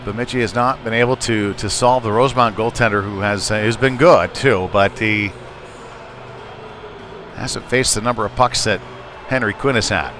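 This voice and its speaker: A man's voice: fairly low pitch, normal speed, a bit bright, soft background music. A man doing live ice hockey play-by-play in a conversational way, thinking aloud as he goes. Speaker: play-by-play sports commentator. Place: indoor ice rink. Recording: noisy live rink broadcast.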